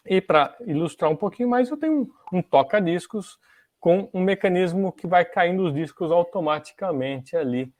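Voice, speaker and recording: A man's voice: a little high, a little mellow, no room sound. A Brazilian man hosting a podcast, explaining things in a casual, didactic way and often checking in with small tag questions. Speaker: podcast host. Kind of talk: casual explanatory talk on music technology history.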